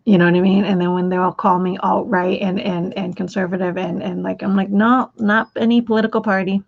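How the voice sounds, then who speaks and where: A woman's voice: mid-range voice, flat delivery, fairly quick, gravelly, lo-fi sound, slight background noise. A woman hosting a live stream, talking in a rambling way, often repeating words and restarting her sentences. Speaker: livestream host.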